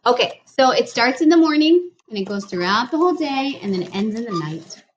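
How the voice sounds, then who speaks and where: A woman's voice: on the high side, big pitch swings, fairly quick, muffled recording, slight background noise. A woman reading a picture book aloud at home. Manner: patient, teacherly, asks questions. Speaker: speech teacher reading aloud. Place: home kitchen, indoors. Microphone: webcam at table distance.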